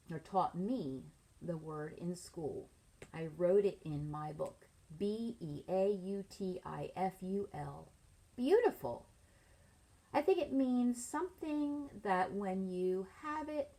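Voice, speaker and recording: A middle-aged woman, a teacher reading a picture book aloud to children, expressively and with pauses to ask them leading questions. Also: lowish voice, creaky, dark tone, breathy edge. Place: small furnished room at home, indoors.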